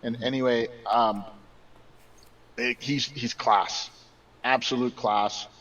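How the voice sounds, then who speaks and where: A man's voice: mid-range voice, steady tone, takes it slowly, a bit bright. Man, emphatic sports co-host, trips over repeated words; sounds far off and dull, like a remote line. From Mexican background. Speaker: podcast co-host. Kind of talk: casual sports chat. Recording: dull distant remote audio.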